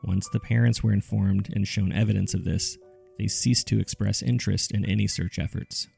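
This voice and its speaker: Gravelly, low-voiced man narrating mystery case files. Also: steady tone, moderate pace, dark tone, soft background music. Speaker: podcast host and narrator.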